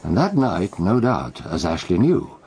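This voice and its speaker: A shrewd armchair detective in a radio play. His voice is very deep and gravelly, yet lively in inflection. He explains crimes in a dry, knowing, measured way. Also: exaggerated voice. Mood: knowing, explanatory. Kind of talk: radio drama acting.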